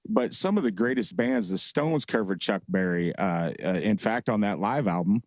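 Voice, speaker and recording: A man's voice: lowish voice, animated, moderate pace, a little mellow, no echo. A man who owns a bar, a guest on a studio podcast, rambling and reminiscing as he gropes for names. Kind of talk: casual podcast chat.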